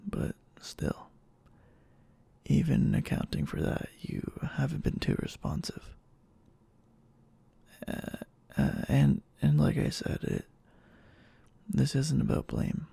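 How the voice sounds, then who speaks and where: Yandere boyfriend, played by a man, for ASMR roleplay: gravelly, breathy, slow, halting, anxiously devoted. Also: mid-range voice, sing-song, mellow, dry recording, heavily acted. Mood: hurt, anxious. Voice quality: breathy, husky half-whisper.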